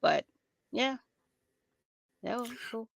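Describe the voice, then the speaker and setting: A woman's voice: a little high, slow pace, creaky, no room sound. Chatty woman, American with a light Trinidadian lilt, laughing often, close dry sound. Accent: American, with Trinidadian lilt at times. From raised in America by Trinidadian parents. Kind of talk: casual conversation.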